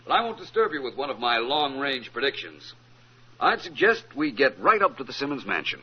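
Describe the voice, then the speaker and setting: An actor playing an urbane, unflappable gentleman detective in an old-time radio drama, polite and drily witty. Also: mid-range voice, sing-song, brisk, lightly acted. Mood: dry, confident. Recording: dull, faintly noisy vintage radio recording.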